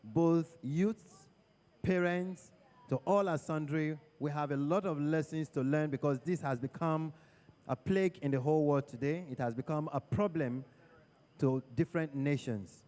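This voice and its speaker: Measured male church host, big hall reverb. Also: fairly high pitch, a little mellow. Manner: measured, preacherly. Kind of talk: addressing a congregation.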